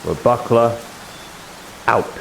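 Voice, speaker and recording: A man's voice: mid-range voice, takes it slowly, creaky, low-quality recording. Man playing a patient fantasy fighter teaching combat, slightly hissy. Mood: patient, instructive. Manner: explanatory, instructive, cautioning. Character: one-eyed male fighter with big shield, patient combat instructor. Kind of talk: improvised in-character roleplay in a fantasy game.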